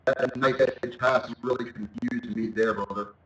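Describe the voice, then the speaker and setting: A man's voice: medium pitch, fairly even intonation, unhurried, fairly bright tone. A man chatting casually and a bit hesitantly as a pilot over game radio. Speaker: amateur pilot in online flight simulator. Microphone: online voice chat. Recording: roomy, distant voice-chat mic.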